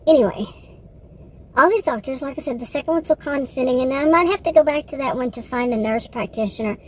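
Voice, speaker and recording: A woman with a Texan accent, rambling casually in a personal monologue, halting often, circling back and correcting herself. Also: high voice. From Texas, United States. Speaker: vlogger.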